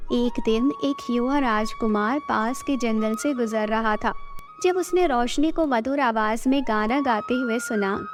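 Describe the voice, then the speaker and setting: A woman narrator reading a scripted bedtime story for children. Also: on the high side. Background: background music.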